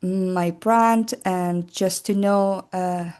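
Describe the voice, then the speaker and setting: A woman in her forties from Iran, with an Iranian accent, giving an informal talk about her art process. She speaks in a friendly, unhurried way and often pauses with fillers. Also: lowish voice, steady tone, darkish tone. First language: Persian. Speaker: artist presenting her work.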